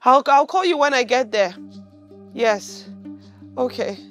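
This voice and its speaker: A woman's voice: sits high, expressive, slow pace, fairly bright tone, soft background music, exaggerated voice. An actress with a Nigerian accent playing a distraught, pleading girlfriend in a film drama, repeating herself as she begs. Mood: panicked, pleading. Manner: pleading, repetitive.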